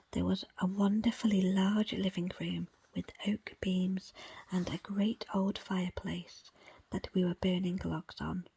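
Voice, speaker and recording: A woman reading a book aloud in an ASMR style, in a breathy, unvoiced whisper with hushed, measured phrasing. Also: mid-range voice. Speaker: book reader presenting an audiobook extract.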